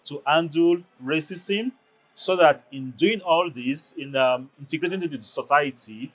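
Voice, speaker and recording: A man explaining a community project in a measured, formal manner. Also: a little high, a bit bright, soft background music. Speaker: project organizer.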